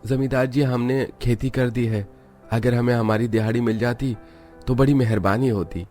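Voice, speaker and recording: A man's voice: mid-range voice, steady tone, moderate pace, warm tone, faint music behind, lightly acted. A man playing a poor, humble village farmer and father in a cartoon, speaking deferentially. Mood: respectful. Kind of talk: Hindi cartoon dialogue.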